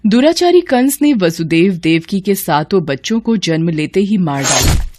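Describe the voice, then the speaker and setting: A woman's voice: fairly low pitch, expressive, moderate pace, a little mellow, slight background noise. A woman narrator reading a scripted devotional story.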